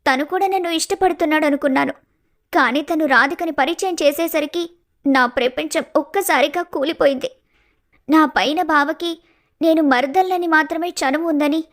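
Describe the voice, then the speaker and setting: Woman voicing a high-pitched sorrowful young village woman. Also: normal speed, natural voice. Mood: heartbroken. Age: young adult. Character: gentle heartbroken young village woman hiding her love. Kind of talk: animated family story dialogue.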